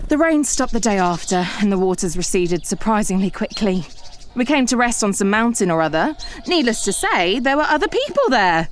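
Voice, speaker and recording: A woman's voice: medium pitch, a little hiss or hum, close to an everyday voice. A woman playing a frightened, argumentative amateur detective aboard the Ark in a comedy audio drama, with an exasperated, insistent manner. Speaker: lead actor and narrator.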